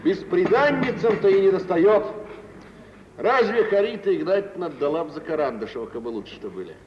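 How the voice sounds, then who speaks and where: A wealthy young merchant in an old radio play, breezy and sure of himself. His fairly high voice swings in lively, theatrical turns. The recording is dull and echoes like a big hall. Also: fairly quick, slight background noise. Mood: matter-of-fact, gossipy. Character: brisk, self-assured wealthy young merchant. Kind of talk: 1950s radio stage drama acting.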